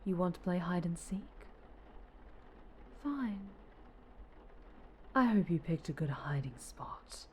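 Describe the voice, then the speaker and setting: Woman acting a slow, menacing, possessive dark superheroine. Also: fairly low pitch, sing-song, a little hiss or hum. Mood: sinister, crooning. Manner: slow, halting, menacing delivery, often dropping into whispers. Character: possessive, obsessive, sinister female superhero, a dark angel captor. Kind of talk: ASMR roleplay acting.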